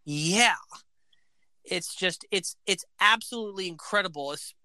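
High-voiced man, bright and dry, eager podcast host reeling off band facts. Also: measured pace, no room sound. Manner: enthusiastic, fact-filled, says "like" often. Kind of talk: casual music chat.

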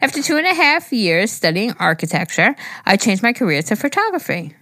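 A young woman co-hosting a casual podcast, relaxed and crude, laughing as she reads listener letters. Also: medium pitch, a little fast, no room sound, studio quality. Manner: laid-back, laughing, crude. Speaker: podcast co-host.

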